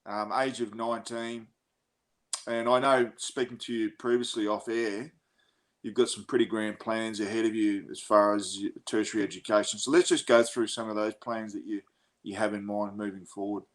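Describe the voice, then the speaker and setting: A man's voice: fairly low pitch, little pitch movement, moderate pace. A middle-aged man hosting a podcast, asking rambling questions and joking around. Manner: jokey, chatty, rambling questions. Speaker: podcast host. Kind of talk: casual interview chat.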